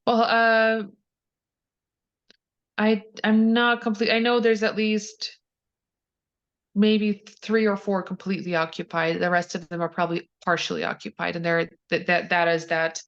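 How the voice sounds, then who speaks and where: A woman's voice: mid-range voice, flat delivery, unhurried. A woman from Ukraine answering interview questions, hesitant, with many fillers and restarts. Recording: dull lo-fi audio.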